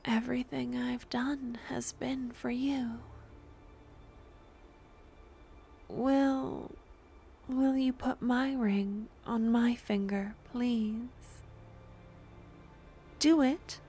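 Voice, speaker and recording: Woman acting as lovesick female stalker, high voice, adoring and possessive, breathy murmurs and whispers, drawn-out halting phrases, ASMR roleplay. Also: steady tone, mellow, a little hiss or hum, heavily acted. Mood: intense, pleading. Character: obsessive, lovesick young female yandere necromancer stalker. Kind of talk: intimate ASMR audio roleplay.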